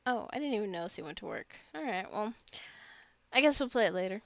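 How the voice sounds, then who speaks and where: A woman's voice: medium pitch, lively intonation, fast, creaky, a bit bright, no room sound. A woman giving casual, rambling gameplay commentary as a gaming video host, chatting and thinking aloud to herself and her viewers.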